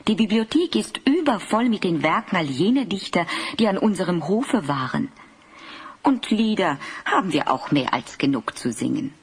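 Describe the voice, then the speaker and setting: A woman's voice: lowish voice, very animated, moderate pace, breathy edge. A woman playing a genteel, persuasive queen in a fantasy audio drama, speaking in a courtly, coaxing way. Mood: courtly persuasion. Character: genteel, persuasive queen of an icy northern realm.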